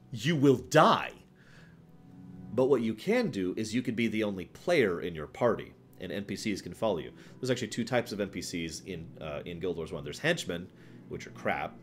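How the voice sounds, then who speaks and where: A man with a fairly low voice hosts a gaming stream over faint music. He talks informally to his chat, wandering from point to point and stressing his views with repeated phrases. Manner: rambling, digressive. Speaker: livestream host. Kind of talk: casual gaming commentary to a live chat.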